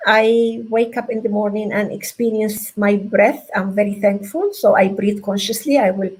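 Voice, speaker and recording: A woman from Venezuela speaking as an interview guest, earnest and grateful, often halting and restarting. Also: mid-range voice, fairly even intonation, a little mellow, breathy edge, low-quality recording. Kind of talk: interview answers.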